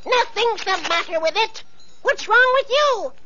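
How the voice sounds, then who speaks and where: A woman's voice: very high voice, animated, measured pace, bright, forceful tone, dull audio, heavily acted. An actress playing a scheming, bossy mother in an old radio play, bossing her daughters about. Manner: bossy, fawning to royalty. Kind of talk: 1940s radio acting.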